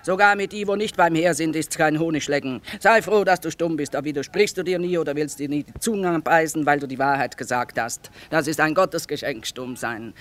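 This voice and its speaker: A woman's voice: deep voice, a little fast, hardly any pauses, natural voice. Actress as shrewd, brusque war-hardened mother. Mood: sardonic, blunt. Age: middle-aged. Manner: brusque, earthy, sardonic. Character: shrewd, blunt middle-aged mother trading amid war. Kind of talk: theatrical radio drama acting.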